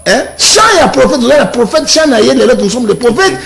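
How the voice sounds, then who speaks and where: High-pitched, animated Congolese man arguing. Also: quick pace, gravelly. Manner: emphatic, repetitive, rhetorical questions. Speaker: self-styled prophet interviewed as guest. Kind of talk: animated political and religious argument. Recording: echoey, reverberant room.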